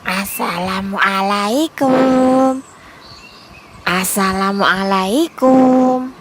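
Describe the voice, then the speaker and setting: A woman's voice: medium pitch, drawn-out words. A woman voicing a young wolf in a children's fable, a polite lost stranger asking for help, on a noisy recording. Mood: calling out politely.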